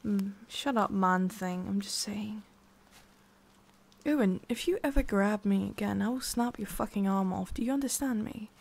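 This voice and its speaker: A woman voicing a haughty, prickly witch with a hidden soft side in an ASMR roleplay, soft and often whispery with a creaky edge. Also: mid-range voice, moderate pace. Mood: irritated, threatening. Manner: soft, often whispery, breathy sighs, curt asides.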